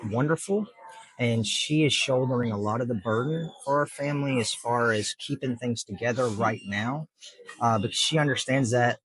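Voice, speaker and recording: A middle-aged man with a husky voice at an ordinary pitch. He speaks earnestly and plainly, explaining patiently with plenty of fillers as an interview guest. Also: moderate pace, fairly bright tone, no echo, low-quality recording. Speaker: district judge, guest. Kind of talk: casual interview answers.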